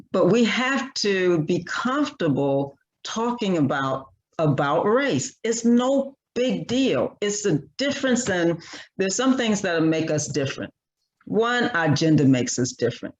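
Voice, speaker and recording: A man speaking as a panelist in an online discussion, earnest and repetitive, halting with many restarts. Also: on the high side, dull audio.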